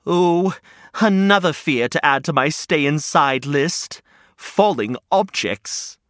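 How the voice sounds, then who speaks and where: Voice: medium pitch, expressive, slow pace, fairly bright tone, no echo, studio quality. A storyteller voicing an anxious hedgehog who worries about everything and speaks in a nervous, deflated way. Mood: worried.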